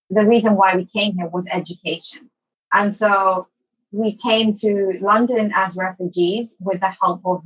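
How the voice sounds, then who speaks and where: A woman's voice: medium pitch, a bit bright, dull audio. A woman from Somalia, an English teacher, telling her life story as an interview guest, hesitant with many ums and restarts.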